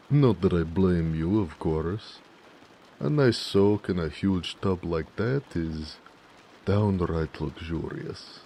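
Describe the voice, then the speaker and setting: A man voicing a gentle, affectionate orc blacksmith in an intimate romantic audio roleplay, tender and musing. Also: low-pitched, a little hiss or hum. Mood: teasing, affectionate. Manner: unhurried, tender, murmuring, musing.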